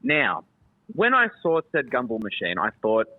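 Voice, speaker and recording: A man's voice: mid-range voice, huge pitch swings, normal speed, dry recording. A man, the show's producer, telling a story in casual chat with playful dramatic buildup. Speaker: show producer. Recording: dry, phone-like line.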